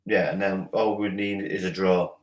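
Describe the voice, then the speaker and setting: A man guesting on a casual football podcast who talks quickly, repeats himself and pushes his points in short bursts. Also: deep voice, fairly even intonation. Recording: echoey room.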